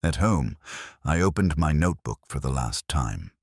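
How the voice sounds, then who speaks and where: A middle-aged man narrating a detective story in the first person as a melancholy, world-weary detective, in a measured, reflective, literary manner. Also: extremely low pitch, sing-song, darkish tone, no echo, studio quality.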